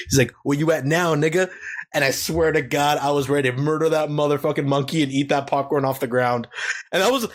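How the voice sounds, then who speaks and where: Young male podcast co-host, profane rambling storyteller, voice sits a bit high. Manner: crude, profane, rambling anecdotes. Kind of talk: casual comedic storytelling.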